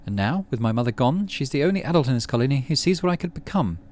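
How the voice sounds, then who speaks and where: A man's voice: mid-range voice, sing-song, fast, a little mellow, voice pushed up, lightly acted. A man reading the lines of a proud, prickly teenage girl scientist in a game, in a near-plain voice. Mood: earnest. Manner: curt and defensive.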